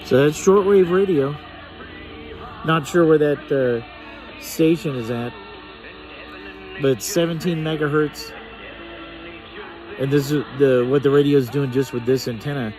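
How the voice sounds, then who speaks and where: A man's voice: a little high, measured pace, pauses often, darkish tone, rough recording. A man talks through a gadget in a casual, hands-on way. He muses aloud as he goes, unscripted, like a hobby vlogger trying things out. There is some music in the background. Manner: unscripted, musing aloud while tinkering. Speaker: vlogger reviewing a radio.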